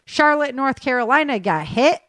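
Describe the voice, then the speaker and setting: A woman's voice: sits high, animated, moderate pace, fairly bright tone, dry recording. A woman podcast host talking informally to her listeners, mixing news rundown with casual chat.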